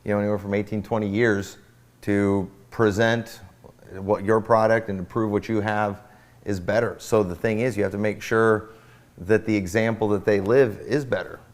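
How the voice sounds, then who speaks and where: A man, a pastor on a panel, giving advice in an earnest, steady, explaining way. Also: fairly low pitch, moderate pace. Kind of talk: casual advice talk.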